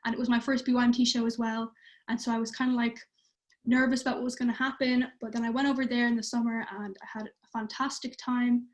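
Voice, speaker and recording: A woman's voice: fairly high pitch, level tone, fast. A teenage girl from Ireland, chatting casually on a livestream and using many fillers. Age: teens. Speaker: youth theatre participant.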